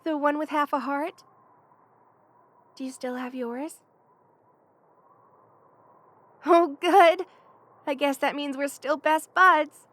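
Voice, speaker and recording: A woman's voice: high voice, steady tone, takes it slowly, long pauses, no echo. A woman voicing a playful, affectionate young lamia best friend in a casual, teasing ASMR roleplay. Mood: sincere, chatty. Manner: casual, teasing, conversational with soft chuckles. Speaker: voice actor in audio roleplay. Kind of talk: ASMR roleplay, one-sided friendly chat.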